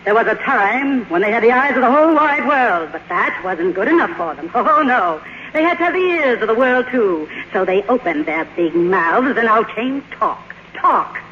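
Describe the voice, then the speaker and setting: A woman's voice: fairly high pitch, lively intonation, moderate pace, fairly bright tone. An actress playing a proud, imperious faded silent-film queen in a 1950s radio drama, with grandiose, theatrical delivery. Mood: scornful, bitter. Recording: muffled, noisy vintage radio broadcast.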